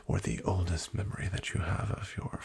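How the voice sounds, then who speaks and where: A man whispering softly as a polite, hesitant doctor in an ASMR roleplay, with a wry bedside manner. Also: low voice, a little fast. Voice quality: whispered, gravelly. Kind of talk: soft-spoken ASMR roleplay.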